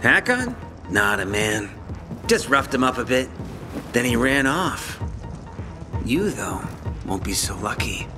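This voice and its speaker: A cocky young male gang thug from a video game, sneering and taunting with vengeful menace. His pitch leaps around a lot, and loud music plays behind him. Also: very animated, slow pace, long pauses. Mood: smug taunting. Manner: taunting, mocking, foul-mouthed. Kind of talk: dramatic video game acting.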